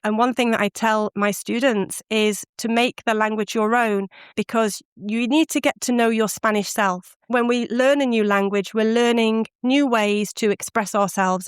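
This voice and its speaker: Animated British woman telling stories. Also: on the high side, clean tone, no room sound, clean studio sound. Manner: animated storytelling, laughs often. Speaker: guest, language course founder. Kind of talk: podcast interview answers.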